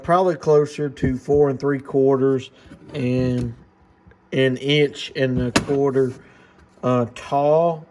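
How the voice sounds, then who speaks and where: A man hosting a casual unboxing and gear demonstration, talking in a low-key, plain-spoken, understated way. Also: darkish tone. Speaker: host of a radio gear review channel. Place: indoor workbench in a radio shop. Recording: clearly noisy room recording.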